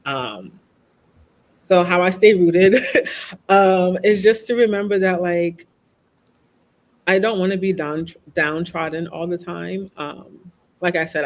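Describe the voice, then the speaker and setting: A woman's voice: lowish voice, unhurried. A woman speaking as a podcast guest, talking openly and reflectively, often checking in with "right?". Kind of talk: candid conversational interview.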